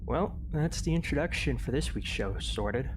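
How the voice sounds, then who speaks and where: A woman playing a brisk, impatient tech inventor and sidekick in a science fiction audio drama. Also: extremely low pitch, lively intonation, background noise. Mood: presenting. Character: exasperated tech-savvy inventor, partner on a mystery show.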